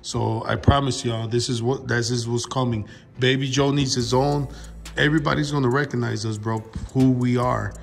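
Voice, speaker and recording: A man's voice: medium pitch, fairly even intonation, normal speed, gravelly. Emphatic man vlogging casually, music behind. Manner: emphatic, repeats himself, calls everyone bro. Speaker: YouTube car channel host. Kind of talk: casual vlog chat with slang. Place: open-fronted metal garage workshop. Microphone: handheld phone camera nearby.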